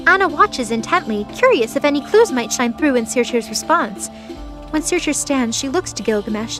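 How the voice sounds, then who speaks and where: A woman narrates an audio drama in a high-pitched voice. Her delivery is scripted, dramatic storytelling. Music plays clearly behind her.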